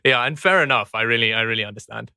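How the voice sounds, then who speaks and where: A man's voice: mid-range voice, fast, creaky, sharp tone, clean tone, dry recording. A man whose first language is Afrikaans, a guest on a casual podcast, who laughs easily and repeats words when starting sentences.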